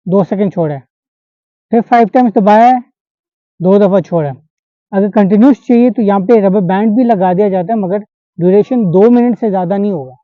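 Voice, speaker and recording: A man's voice: high voice, no echo. A man instructing a class, demonstrating pressure points on a volunteer and checking that the students follow. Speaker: acupressure instructor. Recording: dull lo-fi sound.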